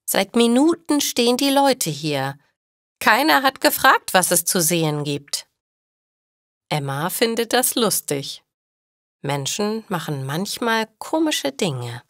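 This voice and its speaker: A woman narrating a story for language learners in a slow, clear reading. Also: mid-range voice, big pitch swings, a bit bright, no echo, clean studio sound.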